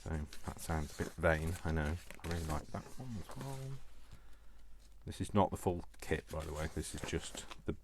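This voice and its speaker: A man with a very low-pitched voice hosts a casual craft tutorial. He thinks aloud, playfully muttering and repeating short phrases to himself. The recording has clear background noise with clicks. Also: animated, normal speed.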